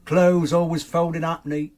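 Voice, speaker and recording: An actor playing an opinionated, grumbling servant in a radio drama, with a working-class English accent. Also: male voice, fairly high pitch, flat delivery, a little slow, a little mellow, close to an everyday voice. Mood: disapproving.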